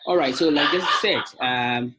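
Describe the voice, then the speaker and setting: A man's voice: on the high side, unhurried, heavy background noise. A young man from Ghana, president of an alumni association, giving a webinar talk with many fillers and restarts. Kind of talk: webinar presentation.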